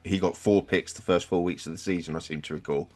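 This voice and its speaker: A man's voice: deep voice, steady tone, quick pace, faint music behind. A man hosting a casual sports podcast, talking through picks in a conversational way. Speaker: podcast host.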